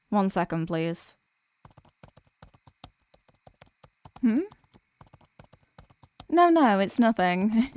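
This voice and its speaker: Female performer as sweet workaholic girlfriend for ASMR roleplay. Highish voice, unhurried, soft, drowsy and stubborn, close and dry. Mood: flustered, reluctant.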